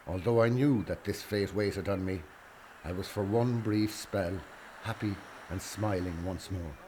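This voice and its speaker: Man reading as wistful rebel, low voice. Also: darkish tone, natural voice. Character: Irish male rebel prisoner recalling his homecoming, wistful. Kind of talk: dramatic reading of a letter. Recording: faint noise and ambience behind.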